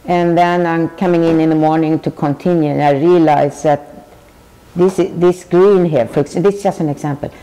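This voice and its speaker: An older woman from Sweden with a Swedish accent, speaking thoughtfully with halting restarts as she answers questions in an interview. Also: on the low side, mellow, in a large hall, rough recording. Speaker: tapestry artist. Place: large weaving studio with looms.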